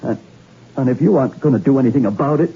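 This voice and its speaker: A man's voice: medium pitch, animated, quick pace, vocal fry, mellow, slightly rough, full character voice. An actor playing a nervous, stammering young man in an old radio drama. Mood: anxious. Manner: stammering, anxious and insistent. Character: nervous young man haunted by a dead woman. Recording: thin, phone-like 1960s radio drama recording.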